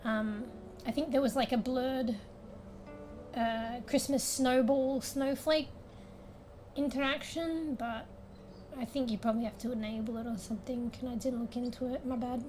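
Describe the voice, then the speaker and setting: A young woman streamer, a little raspy today, chatting casually at home into a desk mic, rambling, laughing a lot and swearing now and then. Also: a little high, steady tone, moderate pace, a little hiss or hum, poor audio. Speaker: game streamer. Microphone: desk microphone with fan blowing on it. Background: soft background music.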